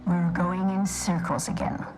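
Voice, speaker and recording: A prim female scholar voiced by an actress in a video game, with a lowish pitch. She is formal, precise and pedantic, with clear music behind her. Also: level tone, a little slow, darkish tone, lightly acted, rough recording. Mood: prim, measured. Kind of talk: video game dialogue acting.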